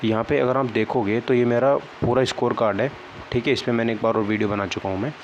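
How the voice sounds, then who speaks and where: Young male vlogger, mid-pitched, downcast conversational explainer, dull home-made sound. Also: fairly even intonation, fast talker. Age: young adult. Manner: conversational, often checks in with "okay, right" tags. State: downcast, disappointed. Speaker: exam-prep vlogger. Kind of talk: casual explainer talk to viewers. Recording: dull lo-fi sound, faint background noise.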